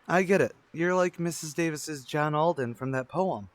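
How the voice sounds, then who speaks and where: A man playing an eager teenage high school student in an old-time radio sitcom, florid, earnest and cheeky. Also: normal speed, no echo, close to an everyday voice.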